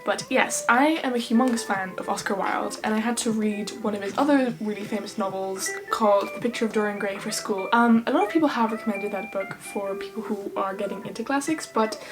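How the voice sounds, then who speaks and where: A young woman from Ireland with an Irish accent that drifts toward English, chatting casually and recommending books as a vlogger, with quick asides and self-deprecating jokes. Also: medium pitch, rough recording. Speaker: book vlogger. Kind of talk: casual book recommendations to camera. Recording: music playing underneath.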